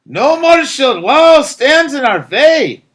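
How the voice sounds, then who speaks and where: Man performing a fervent young rebel, high and loud. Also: big pitch swings, exaggerated voice. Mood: defiant. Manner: loud, drawn-out declaiming. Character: fervent young East German man, crying out defiantly.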